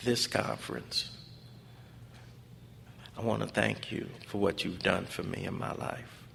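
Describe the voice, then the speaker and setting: A man's voice: fairly low pitch, steady tone, measured pace, gravelly, a bit bright. An American man giving a personal testimony speech at a convention, preacher-like and emphatic, repeating phrases in colloquial English. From Los Angeles, United States. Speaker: speaker at a recovery fellowship convention. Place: large conference hall. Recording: echoey hall PA with background noise.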